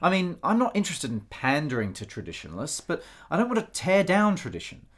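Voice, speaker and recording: Actor as jovial, very animated gentleman writer. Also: on the high side, a little fast. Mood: opinionated. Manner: jovial, expressive, old-fashioned turns of phrase. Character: hearty, teasing gentleman writer in 1870s Italy. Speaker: actor in a radio play. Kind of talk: radio drama acting.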